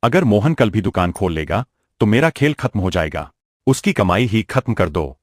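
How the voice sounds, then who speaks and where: A man's voice: fairly low pitch, big pitch swings, normal speed, dry recording, studio quality. A man playing a menacing, greedy village moneylender with a domineering, threatening manner in a cartoon moral story. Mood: scheming. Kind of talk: Hindi animated moral story dialogue.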